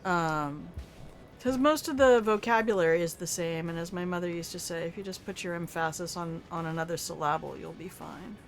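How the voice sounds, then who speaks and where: Older woman, low chatty voice. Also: moderate pace, faint music behind. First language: Afrikaans. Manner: chatty, rambling asides, reacts aloud to the game. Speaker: gaming streamer. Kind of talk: casual gameplay commentary.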